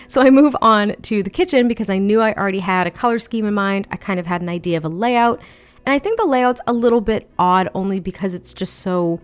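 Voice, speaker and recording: A woman giving a chatty voiceover for a home-building video, slightly hoarse and a little raspy, laughing often between rambling asides. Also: medium pitch. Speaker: Sims build video creator. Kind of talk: casual voiceover commentary.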